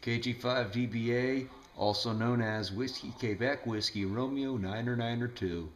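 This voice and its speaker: A man's voice: medium pitch, moderate pace, background noise. A man chatting casually over two-way radio as an amateur operator. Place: indoors at home beside a mobile radio.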